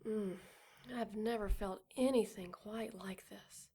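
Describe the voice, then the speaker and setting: A woman's voice: medium pitch, fairly even intonation, slow pace, dark tone, breathy edge. A woman playing a frightened but defiant pregnant young woman in an audio drama, emotional, naive and protective. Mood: bewildered denial.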